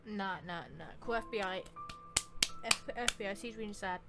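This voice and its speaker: A woman's voice: medium pitch, normal speed, over loud music. A woman giving casual, playful commentary as she plays through a game, talking informally. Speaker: gamer reacting to a story quest.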